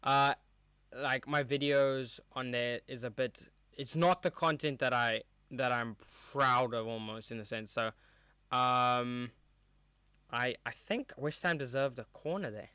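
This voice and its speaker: Bright, crisp young man streaming a match. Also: mid-range voice, measured pace, no room sound. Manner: rambling, chatty, reacts to play as it happens. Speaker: streamer hosting a match watchalong. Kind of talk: casual live match commentary. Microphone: streaming mic with a cover on top.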